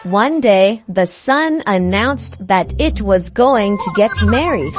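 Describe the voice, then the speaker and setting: A woman reading scripted fables for children in a measured, expressive storybook manner. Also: medium pitch, over music.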